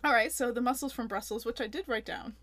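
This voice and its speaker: A woman's voice: a little high, fast, a bit bright. Woman, podcast co-host, casual recap chat, quotes the characters. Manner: chatty, acts out characters' lines in quotes.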